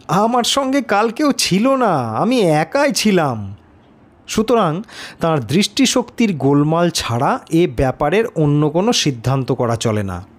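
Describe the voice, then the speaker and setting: Male narrator, fairly high voice, very slow delivery, suspenseful pauses, dramatic scripted storytelling.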